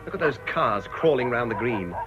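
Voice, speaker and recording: An actor playing a sardonic, grumbling onlooker in a radio drama. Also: male voice, mid-range voice, lively intonation, normal speed, lightly acted. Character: grumbling, sardonic man watching village chaos. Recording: muffled radio drama, loud music behind.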